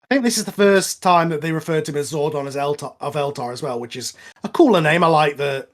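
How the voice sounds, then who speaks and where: A man with a slightly high voice hosts a casual movie commentary. He talks like an opinionated fan, drifting into little asides. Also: fast talker. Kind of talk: casual film commentary chat.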